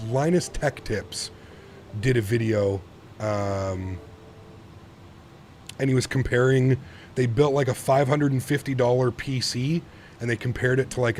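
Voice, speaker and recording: A man's voice: medium pitch, a little mellow. A man in his thirties from Canada live streaming a flight simulator, chatting casually with viewers while straining a sore throat. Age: 30s.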